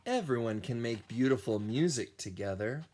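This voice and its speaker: A man who teaches children music, reading a picture book aloud in a deliberate, animated storytelling style. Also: mid-range voice. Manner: slow, deliberate storytelling with sung and imitated sounds.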